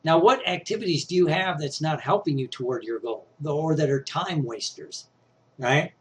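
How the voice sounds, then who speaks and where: A man's voice: a little high, normal speed, a bit bright. A middle-aged man with a slightly scratchy voice, teaching success skills in a casual, conversational talk that he often punctuates with "right?". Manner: conversational, frequent "right?" and "you know" tags. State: scratchy voice, clearing throat.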